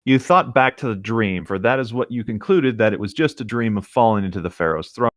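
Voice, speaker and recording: A man with a fairly low voice narrates a story from the page. He drops casual asides into the reading. The recording is dry, with hardly any room sound. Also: a little fast, no echo. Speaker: co-host reading fan fiction aloud.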